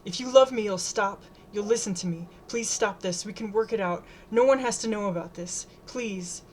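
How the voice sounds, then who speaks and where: A woman's voice: mid-range voice, fairly even intonation, a little fast, a little hiss or hum, close to an everyday voice. A woman voicing a frightened teenage schoolgirl in an audiobook, pleading urgently and desperately. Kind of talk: audiobook character dialogue.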